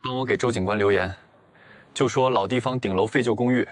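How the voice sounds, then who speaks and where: An actor playing cool, restrained, clever young men in a detective drama for an animated comic. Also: male voice, mid-range voice, a little fast, close to an everyday voice. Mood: serious.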